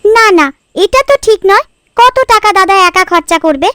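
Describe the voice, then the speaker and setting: A woman voicing a caring, practical village housewife in a cartoon, homely and gently fussing. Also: very high voice, animated, normal speed, no room sound, clean studio sound. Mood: concerned, caring. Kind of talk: cartoon story dialogue.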